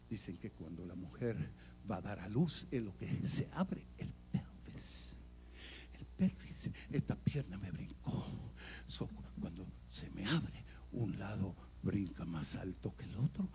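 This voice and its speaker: An older man, an evangelist, telling his own story in a sermon, swinging from loud emphatic bursts to hushed near-whispers. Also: fairly low pitch, sing-song, slow, creaky, slight background noise. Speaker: evangelist preacher. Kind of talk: sermon testimony.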